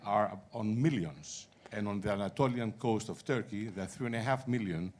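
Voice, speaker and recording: A man's voice: fairly low pitch, normal speed, a little mellow. A man asking a question from the floor of a conference hall, impassioned and insistent. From Greece. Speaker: audience member asking a question. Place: large conference auditorium.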